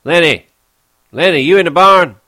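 A man's voice: lowish voice, animated, fairly bright tone, dry recording. A man doing a stern, disapproving ranch hand. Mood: calling. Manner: disapproving, brisk.

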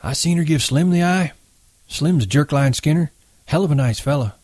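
A man's voice: fairly high pitch, expressive, normal speed, darkish tone, dry recording, voice pushed up. A man putting on a gossipy old ranch hand. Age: older. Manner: sly, wheedling gossip. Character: old male ranch swamper, gossipy and nervous.

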